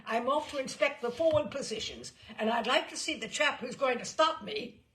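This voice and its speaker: A woman's voice: sits high, animated, normal speed, a bit bright, voice pushed up. A woman voicing a blustering, outraged old English general in a storybook reading, clipped and indignant. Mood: furious blustering.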